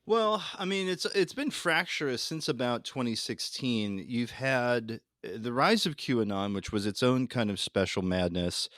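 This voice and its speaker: A man's voice: medium pitch, animated, unhurried, few pauses, fairly bright tone, no echo, studio quality. A man as a podcast guest, giving casual political commentary in a wry, rambling way.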